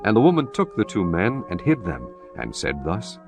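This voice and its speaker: A man narrating scripture in a solemn, measured way. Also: lowish voice, huge pitch swings, soft background music.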